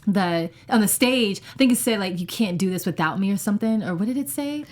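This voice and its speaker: A woman's voice: medium pitch, lively intonation, brisk. Female panelist, mid-range voice, loose rambling talk that circles back on itself. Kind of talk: casual TV recap chat.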